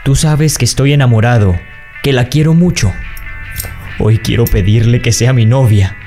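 An earnest young heir acted by a man in a radio drama, lovestruck and easily exasperated. His voice sits at a medium pitch and pleads, with faint music behind it. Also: moderate pace, mellow, natural voice. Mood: earnest, hopeful. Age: young adult.

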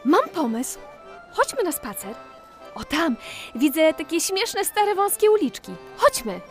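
Woman playing a curious little girl, high and animated. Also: unhurried, music in the background, heavily acted. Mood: eager, curious. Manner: wondering, eager, inquisitive. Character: curious, enthusiastic little girl exploring with brother. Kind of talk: children's audio play acting.

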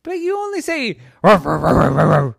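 Someone voicing a high excitable family dog. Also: normal speed, creaky, breathy edge, no echo, clean studio sound, full character voice. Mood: eager. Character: talking family dog, eager and a bit cheeky.

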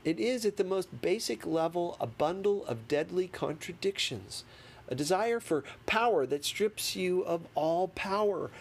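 A man reading a memoir as an audiobook, in a deliberate, emphatic manner with dramatic pauses. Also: high voice, animated. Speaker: audiobook reader. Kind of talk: expressive scripted narration of a memoir. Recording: clearly noisy background.

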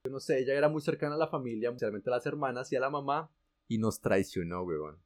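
A man's voice: medium pitch, animated, quick pace, a little mellow. A man with a Colombian accent, animatedly telling a personal story in casual, slangy chat. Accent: Colombian Spanish. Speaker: guest telling his story.

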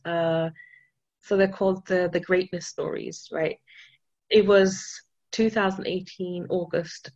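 Brief: low-voiced non-native woman from Austria, keen interview guest, muffled lo-fi recording. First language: not English. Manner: enthusiastic storytelling with many restarts and fillers. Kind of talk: casual interview talk.

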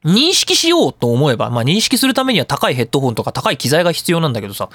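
Very animated, fast-talking man hosting radio. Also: fairly high pitch, dry recording. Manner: chatty, opinionated, colloquial explaining. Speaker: radio show host and audio engineer. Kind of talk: casual talk radio monologue explaining sound editing.

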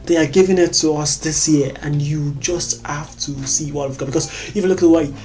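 An excitable vlogger with a Nigerian accent, chatting about movies to recommend. The talk bubbles along with frequent laughs and repeated words. Soft music plays faintly underneath at a middling pitch. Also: brisk.

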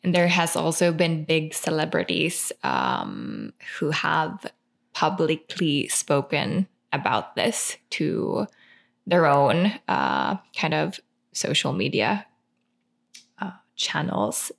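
A woman's voice: low-pitched, huge pitch swings, slow, creaky. A woman co-hosting a podcast in casual chat, speaking hesitantly and searching for words. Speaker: podcast co-host.

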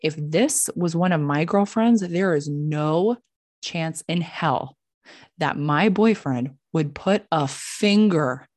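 A young Canadian woman chats through a personal story in a slightly low voice. She is blunt and sweary, animatedly acting out who said what. The sound is dry, with very little room. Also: takes it slowly, no echo. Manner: animated, blunt, sweary, acts out quoted dialogue. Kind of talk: casual conversational storytelling.

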